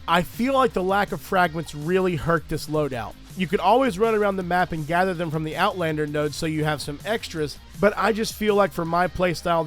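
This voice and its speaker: A man recovering from a cold, talking through a gameplay video in an earnest, thorough and grateful way. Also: on the high side. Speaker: gaming video creator. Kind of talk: gameplay commentary and channel talk.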